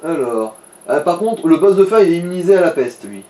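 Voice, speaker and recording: Man, soft dark tone, fairly high pitch, laid-back gaming co-commentary, crude slang. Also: expressive, fairly quick. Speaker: let's play co-commentator. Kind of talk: casual gaming chat, giving game advice.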